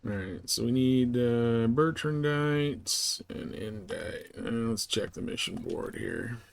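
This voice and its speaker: A man's voice: medium pitch, animated, slow, vocal fry. A man chatting casually as he streams his play of a video game. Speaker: live streamer playing a space trading game. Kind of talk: casual gameplay chat.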